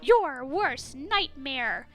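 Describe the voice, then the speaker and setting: A woman voicing an excitable female cartoon cat princess who plays superhero with theatrical, boastful exclamations. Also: high voice, very slow, faint music behind, heavily acted. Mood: mock menacing. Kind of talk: cartoon-style dramatic reading.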